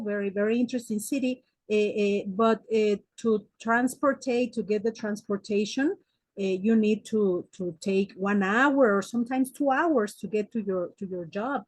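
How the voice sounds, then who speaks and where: A woman's voice: fairly high pitch, darkish tone, dry recording. Hesitant Mexican woman psychotherapist. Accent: Mexican Spanish. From Mexico City. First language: Spanish. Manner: hesitant, many "eh" fillers and restarts. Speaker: psychotherapist guest. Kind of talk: interview answers.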